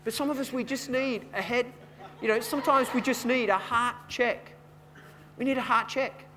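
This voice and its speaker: A man preaching a sermon in a conversational, storytelling way, repeating words as he goes and building from quiet chat to louder preaching. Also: very high voice, moderate pace, noisy background. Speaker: pastor.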